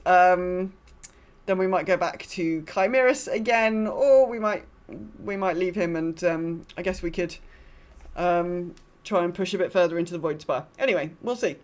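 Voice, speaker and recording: A woman's voice: fairly low pitch, unhurried. Informal, rambling woman podcaster. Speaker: podcast co-host. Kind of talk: casual chat about gaming week.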